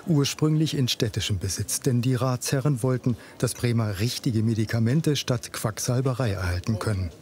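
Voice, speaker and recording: A man narrating a TV report in an even, informative voice-over. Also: mid-range voice, moderate pace, hardly any pauses. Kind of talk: scripted TV narration. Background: music.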